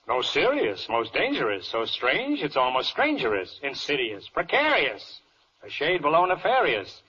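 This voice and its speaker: Crotchety, husky old radio judge. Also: male voice, mid-range voice, huge pitch swings, moderate pace, bright, forceful tone, full character voice. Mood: pompous, fussy. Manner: blustering, hemming and hawing, pompous. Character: crotchety, pompous, dithering older male judge. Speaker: judge in a comic rhyming trial. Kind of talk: 1940s comic radio acting in rhyming verse. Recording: dull old radio broadcast recording.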